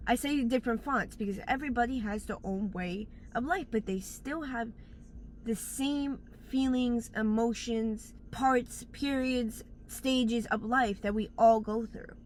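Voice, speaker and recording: A woman's voice: on the high side, a bit bright, slight background noise, poor audio. A woman giving blunt life advice in a casual, rambling monologue, recorded outdoors on a phone, often stopping to search for words. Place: outdoors in bright daylight.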